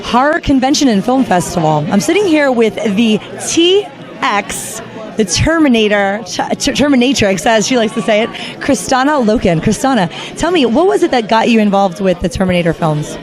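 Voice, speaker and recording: A woman's voice: fairly high pitch, very animated. A woman hosting interviews at a convention, speaking in an enthusiastic, gushing way. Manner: gushing, quick reactions. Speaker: interviewer host. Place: convention floor. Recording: noisy crowd around. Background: crowd.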